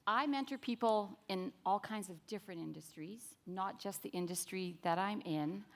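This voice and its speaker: A woman's voice: medium pitch, moderate pace. A woman speaking openly and confidently as a conference panelist. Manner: open, confident. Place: conference stage. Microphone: microphone.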